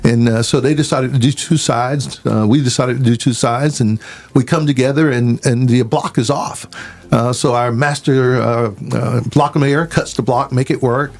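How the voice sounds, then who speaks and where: Older man, folksy and measured. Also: mid-range voice, steady tone. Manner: measured, folksy storytelling with frequent "uh" pauses. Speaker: sheriff guest. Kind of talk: radio interview answers.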